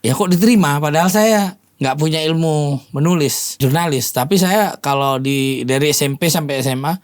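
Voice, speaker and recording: A man's voice: a little high, moderate pace. A man in his forties chatting casually as a guest, telling stories in an interview. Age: 40s. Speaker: podcast guest, political volunteer and former journalist.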